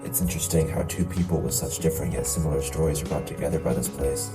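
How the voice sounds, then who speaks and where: A man voicing a grave, curious, freedom-loving traveler in an amateur animated series. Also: rumbling low, fast talker. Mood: thoughtful. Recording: echoey, distant mic under music.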